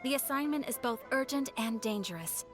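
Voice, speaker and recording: A poised, courteous guild receptionist played by a woman, speaking in a formal and measured way. Her voice sits a little high, with faint game music behind. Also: expressive, natural voice. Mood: calm. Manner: formal, composed, polite. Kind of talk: game voice acting.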